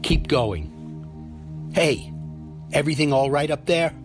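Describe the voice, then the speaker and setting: An actor playing a cocky, menacing gang leader in an audio drama, taunting and drawling. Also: male voice, fairly high pitch, animated, takes it slowly, music in the background. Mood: commanding.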